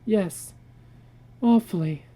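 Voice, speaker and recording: Casting: man playing an anxious mother in a dramatic story, high and mellow, softly worried. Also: slow. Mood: fearful.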